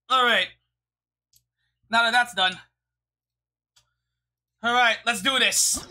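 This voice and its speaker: A woman's voice: fairly high pitch, drawn-out words, pushed and bright. A woman livestreaming a game with casual, rambling commentary, often stammering and swearing, on a streaming microphone.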